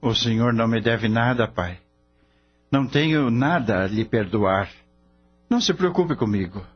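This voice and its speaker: An actor playing a kind, principled veterinarian in a radio drama, husky-voiced, earnest and expressive. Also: male voice, mid-range voice, normal speed. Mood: tender, forgiving. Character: kind, principled male veterinarian and devoted son. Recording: dull lo-fi audio, music behind.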